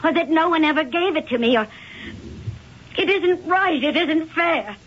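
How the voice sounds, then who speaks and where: An actress playing an accused well-to-do woman, husky, pleading and indignant in old-time radio drama. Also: high voice, animated. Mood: indignant despair. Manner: halting, pleading, emphatic. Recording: dull old radio recording.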